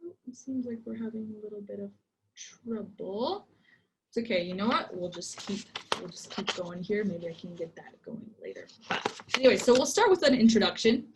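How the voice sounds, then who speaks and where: A woman's voice: medium pitch, expressive, moderate pace, noisy background. A woman in her twenties who grew up in Egypt, leading an online workshop in a casual, chatty way with many ums and likes, on a computer mic. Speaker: workshop presenter.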